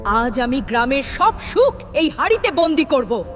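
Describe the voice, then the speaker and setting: A woman's voice: high voice, very animated, unhurried, over music. A woman voicing a spiteful, vengeful female forest witch-ghost in a children's cartoon, theatrical, commanding and menacing. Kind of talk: children's fairy-tale cartoon dub.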